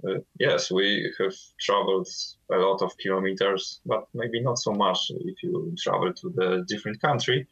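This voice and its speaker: A man from Poland with a Polish accent, guest vocalist of a death metal band, speaking halting non-native English with many fillers over a call. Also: sits low, fairly even intonation, fairly bright tone, breathy edge, highs cut off.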